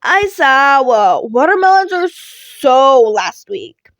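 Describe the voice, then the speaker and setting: Woman voicing a cheeky, high-pitched teenage boy. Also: very animated, slow, dry recording, exaggerated voice. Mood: teasing, confident. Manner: bright, teasing, insistent, emphatic. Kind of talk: podfic character voice.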